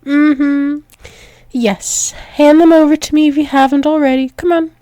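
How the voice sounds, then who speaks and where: A woman's voice: sits high, fairly even intonation, a little slow, mellow, no room sound. A woman playing a dominant, doting female alpha werewolf demon in an intimate ASMR roleplay, coaxing and soothing, often dropping into whispers. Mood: soothing, tender.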